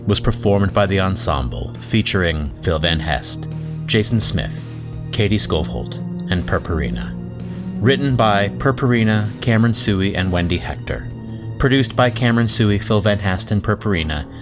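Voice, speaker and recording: Credits announcer: man, fairly low pitch, scripted read, music bed prominent underneath. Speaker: announcer reading show credits. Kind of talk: scripted narration.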